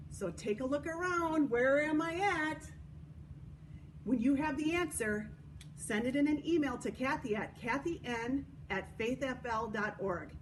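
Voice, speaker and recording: A woman hosting a children's story time at a church, reading and explaining in a deliberate way for young listeners. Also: high-pitched. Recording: distant microphone, noticeable background noise.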